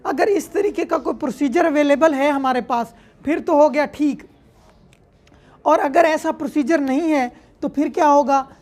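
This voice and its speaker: A high-voiced woman with a Pakistani accent teaches as a law lecturer. She moves between reading formal wording and explaining it in a didactic way, often putting questions and then answering them herself. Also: moderate pace, darkish tone.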